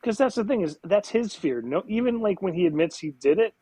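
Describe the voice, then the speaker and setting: A man with a husky, slightly raised voice that swings up and down as he argues a point. The sound is dry and close. He wisecracks and swears freely in casual podcast banter. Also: high-pitched, animated, fairly quick, warm tone, dry recording. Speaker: podcast co-host. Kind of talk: casual comedy movie-review chat.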